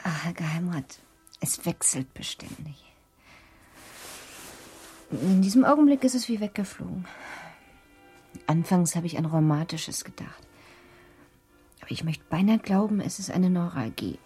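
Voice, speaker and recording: A woman in a radio play acting a young wife who coyly and evasively feigns pain, with a breathy, hesitant, plaintive delivery. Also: fairly low pitch, lively intonation, unhurried, long pauses, mellow, faint music behind.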